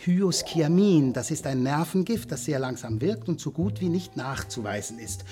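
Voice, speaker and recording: Brief: male actor as courteous, menacing corporate villain, higher-set voice, lively intonation, radio play with music behind. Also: natural voice. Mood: smugly explanatory. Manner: courteous, measured, pompous politeness veiling threat. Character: suave ruthless businessman villain, polite, menacing, scientifically zealous. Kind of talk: German radio drama acting.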